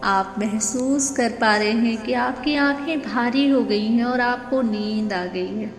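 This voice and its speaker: Slow, echoing woman guiding meditation. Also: on the high side. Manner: gentle, soothing, unhurried with long pauses. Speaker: meditation guide. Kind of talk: guided meditation in Hindi. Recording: echoey long reverb with music. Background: meditation music.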